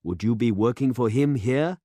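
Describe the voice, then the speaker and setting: A man reading aloud and voicing an elderly male village pastor who speaks slowly and solemnly. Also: mid-range voice, warm tone, no echo, studio quality, voice pushed up, close to an everyday voice. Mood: earnest. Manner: slow and solemn.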